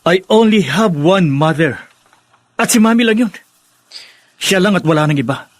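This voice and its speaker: Radio drama son: defiant and scornful, high-pitched, raspy edge, very dry sound. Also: male voice, expressive, moderate pace, dry recording. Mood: angry, scornful. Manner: sarcastic, confrontational, switches into English. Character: bitter, defiant grown son rejecting his new stepmother.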